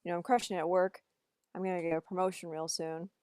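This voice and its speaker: A woman's voice: lowish voice, flat delivery, quick pace, a little mellow, clean tone, dry recording, lightly acted. Casting: woman as awkward, earnest young office guy, everyday delivery, dry close recording. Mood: defensive. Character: earnest young male insurance appraiser, awkward and polite. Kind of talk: amateur table read of a film script.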